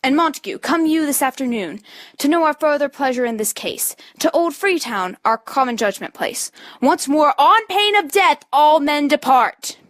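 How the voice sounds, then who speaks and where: Woman playing an outraged, high-pitched commanding prince. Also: lively intonation, normal speed, fairly bright tone. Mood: stern. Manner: declamatory, imperious. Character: outraged commanding male prince rebuking brawlers. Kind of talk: amateur Shakespeare dramatic reading.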